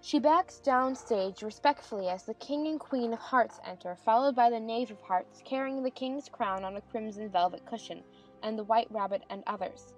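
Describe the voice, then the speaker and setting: Fairly high-voiced woman narrator. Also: normal speed, faint music behind, poor audio. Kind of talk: scripted narration of stage directions.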